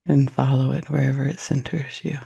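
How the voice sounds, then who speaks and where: A man leading a guided meditation in a soft, unhurried, reflective way, with long pauses and a gravelly, husky voice. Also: medium pitch, level tone, muffled recording.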